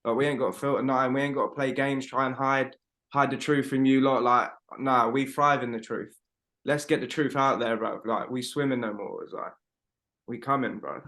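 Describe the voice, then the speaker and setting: A young man in his twenties on a video call from home. He rambles and presses his points hard, swearing often and tossing in "bro" at every turn. His voice sits at an ordinary middle pitch. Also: steady tone, normal speed, fairly bright tone. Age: 20s. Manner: rambling, emphatic, swears a lot, fillers like "bro". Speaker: podcast co-host. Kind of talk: casual chat.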